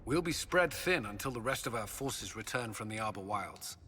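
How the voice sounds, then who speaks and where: An actor playing a stern, disciplined military commander in a video game, speaking firmly and formally. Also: male voice, fairly low pitch, animated, background noise, natural voice. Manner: firm, measured and formal.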